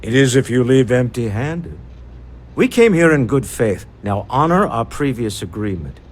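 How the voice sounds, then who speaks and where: An actor playing a suave, wealthy, wry executive in a video game, speaking in polished, unhurried phrases. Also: male voice, mid-range voice, big pitch swings. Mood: smooth, firm. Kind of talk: video game dialogue acting.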